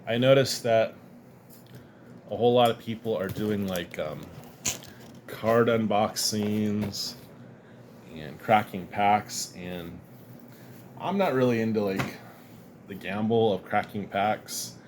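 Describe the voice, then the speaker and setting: A man's voice: fairly low pitch, slow pace, long pauses, slight background noise. A man chatting casually and rambling as he shows off his comic and card haul, laughing now and then and sounding a bit sniffly. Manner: relaxed, rambling, chuckles often. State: sniffly from dust. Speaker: comic collector vlogger. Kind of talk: casual unboxing chat.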